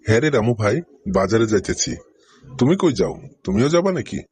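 A man's voice: on the low side, lively intonation, a little fast, a little mellow, slightly rough. A man playing an easygoing village bullock-cart driver in a cartoon, obliging. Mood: friendly. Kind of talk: comic cartoon acting in Bengali.

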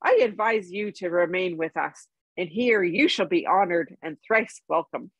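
A woman's voice: lowish voice, moderate pace, husky, dry recording, muffled recording. A woman reading a storybook, playing a dignified, courteous male elf king with a stately, formal manner. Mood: gracious, admiring.

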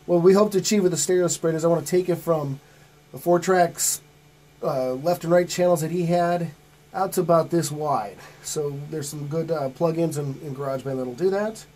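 A man's voice: a little high, moderate pace, mellow. A middle-aged man talking through an informal, step-by-step recording tutorial in a plain-spoken, folksy way. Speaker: host of music recording tutorial. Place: small home studio with acoustic foam.

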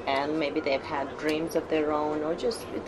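A woman's voice: sits low, level tone, measured pace, noisy background. A woman in her forties from Russia with a Russian accent, a pageant guest speaking politely in a TV studio interview. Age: 40s. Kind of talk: casual TV interview. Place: studio set with sofa and flowers.

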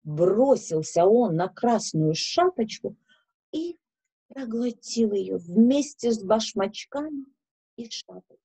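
A woman in her thirties telling a story to children in a deliberate, expressive, instructive way. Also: a little high, slightly rough. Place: small indoor room with bookshelves.

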